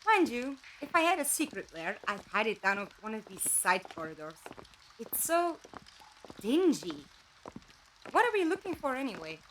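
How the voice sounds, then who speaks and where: A woman plays a frank, playful companion with a doubtful edge. Her voice is high and animated, with a teasing manner. The amateur drama recording has slight noise. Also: normal speed, poor audio. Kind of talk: fan audio drama acting.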